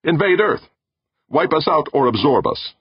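A man's voice: mid-range voice, expressive, fast, slightly rough, dry recording, muffled recording, pitched above the performer's own voice. A man voicing a hostile, suspicious male doubter with an insistent, accusing manner in an audiobook. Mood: insistent, scornful. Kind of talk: audiobook character voice.

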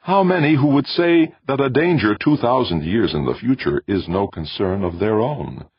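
A man voicing a calm, ancient alien being who speaks in a flat, intoning way. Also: lowish voice, normal speed, slightly rough, no echo, voice pushed up. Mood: solemn. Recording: dull dry recording.

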